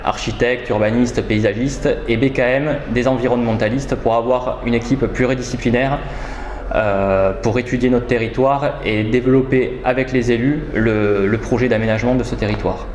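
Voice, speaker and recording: A man with a medium-pitched voice gives formal, interview-style explanations as a local official. He picks his words with hesitation and measure. The room adds clear echo, with slight noise behind. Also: steady tone, normal speed, a little mellow. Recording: roomy echo, faint background noise.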